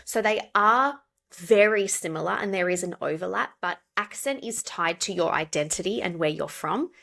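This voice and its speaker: An Australian woman, a pronunciation coach, explaining clearly and steadily as a podcast guest. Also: lowish voice, animated, fairly bright tone. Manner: explanatory, measured. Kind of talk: podcast interview.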